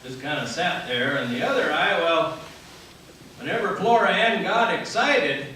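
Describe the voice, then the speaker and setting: A bright-voiced southern storyteller played by a man rambles fondly and earnestly through a tall tale. The voice swings high and animated, from far back in a very echoey hall. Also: measured pace. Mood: earnest, rambling. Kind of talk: folksy comic monologue on stage. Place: live radio theater stage. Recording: very echoey theater, far mic, faint noise.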